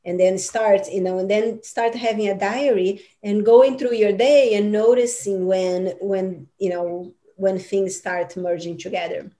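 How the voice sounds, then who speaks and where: A woman's voice: medium pitch, fairly even intonation, unhurried, hardly any pauses, dark tone. A woman whose first language is Portuguese, an Akashic records teacher answering interview questions in an earnest, rambling coaching manner with many fillers.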